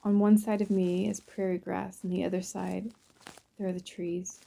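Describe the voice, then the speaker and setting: A woman narrates a guided visualization in a soothing, unhurried way, in short phrases with pauses between them. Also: lowish voice, level tone, vocal fry, mellow. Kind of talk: guided visualization, first-person present-tense narration.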